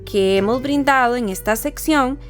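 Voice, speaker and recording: A woman's voice: a little high, moderate pace. A woman giving a scripted, formal, didactic narration as presenter of an educational literature programme. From Costa Rica. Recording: voice over background music. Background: music.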